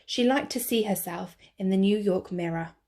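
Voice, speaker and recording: Woman teacher, storybook read-aloud, clear expressive delivery, mid-pitched voice. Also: normal speed. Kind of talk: picture-book read-aloud.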